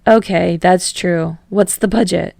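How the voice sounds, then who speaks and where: A woman voicing a cheerful, affectionate, excitable man in a fan fiction audiobook, with an eager, emotive delivery. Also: lowish voice, a little slow, creaky, dry recording.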